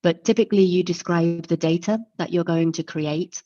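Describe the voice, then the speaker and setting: A woman's voice: fairly low pitch, steady tone, normal speed, mellow, dry recording. A woman presenting an online lecture and explaining things step by step in a friendly way. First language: English. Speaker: webinar presenter. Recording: dull lo-fi call audio.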